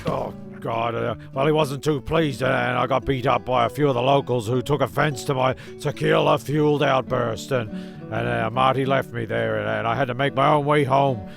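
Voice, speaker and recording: A man playing a defensive, rambling teller of tall tales in an audio comedy, stammering and swearing in a gravelly voice. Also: mid-range voice, steady tone, normal speed. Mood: flustered, rambling. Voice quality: gravelly, creaky. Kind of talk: comedic audio drama storytelling. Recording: guitar music behind the voice.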